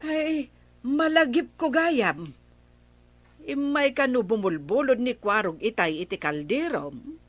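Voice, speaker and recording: A woman's voice: fairly high pitch, sing-song, slow, no echo, heavily acted. A woman playing a chatty, frank village wife in a comedy radio drama, speaking with an Ilocano accent. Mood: neighborly.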